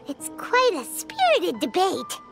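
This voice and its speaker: A woman voicing a tiny, excitable, childlike fairy companion in a video game, with a squeaky, perky delivery. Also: takes it slowly, creaky, music in the background, exaggerated voice. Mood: curious. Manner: speaks of herself in third person, perky. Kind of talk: cartoonish video game voice acting.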